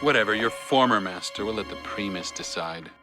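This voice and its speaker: A man playing a gruff, bullying Roman soldier in an audio drama, curt and menacing. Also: lowish voice, brisk, over music, close to an everyday voice.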